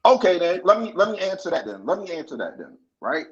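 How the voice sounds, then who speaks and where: Husky, animated man arguing in slang. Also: high voice, fast, highs cut off. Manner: argumentative, slangy. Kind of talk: heated informal debate.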